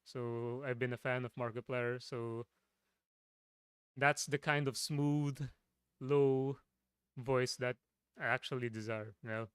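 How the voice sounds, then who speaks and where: A man from the Philippines, a streamer with a low voice, chatting casually from his home setup, rambling and restarting his sentences. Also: steady tone, slow pace, pauses often, clear, resonant tone, no room sound, studio quality. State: voice tiring.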